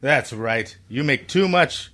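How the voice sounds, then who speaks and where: A man's voice: medium pitch, animated, takes it slowly, pushed and bright. An actor playing a tough, defiant male crook in an old-time radio drama, taunting and clipped. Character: tough, defiant male crook who won't be pushed around.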